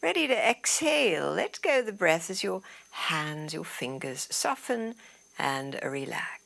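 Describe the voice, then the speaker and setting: Female meditation guide, lowish and very slow, soothing delivery with soft trailing phrase ends. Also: sing-song. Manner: soothing, unhurried, trailing into breathy softness. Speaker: yoga nidra teacher. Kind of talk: guided relaxation meditation instruction.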